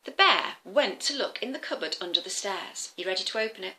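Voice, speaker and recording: A woman telling a story to young children in an animated, interactive way, often turning to the listeners with questions and simple explanations. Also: medium pitch, fairly quick. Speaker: children's storyteller, former teacher.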